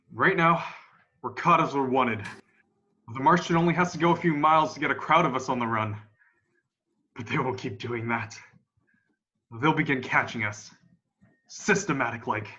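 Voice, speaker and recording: A young man playing a grim, defiant militia survivor of an alien invasion in an amateur radio play, with a folksy, halting, emphatic delivery. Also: medium pitch, sharp tone. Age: young adult. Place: room at home. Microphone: video call. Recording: dull, lo-fi video call.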